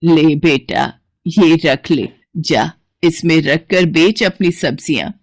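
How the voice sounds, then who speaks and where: Storybook old woman, voiced by a female narrator: poor, kindly, low-pitched, very slow and drawn-out blessings. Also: no echo, studio quality, exaggerated voice. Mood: kindly, cautioning.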